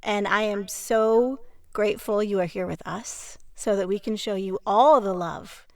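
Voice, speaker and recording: Woman, medium pitch, dry recording, earnest, supportive, casual conversation. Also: a little slow, no echo. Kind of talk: casual discussion.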